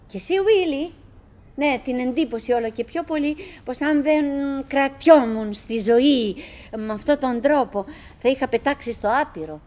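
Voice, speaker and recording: An actress playing a chatty middle-aged wife in a theatrical radio drama monologue, halting and musing, by turns coaxing and murmuring, wistful, fretful and forcedly cheerful. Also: female voice, high voice, a little mellow, lightly acted. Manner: halting, musing, self-interrupting, swinging between coaxing and murmuring.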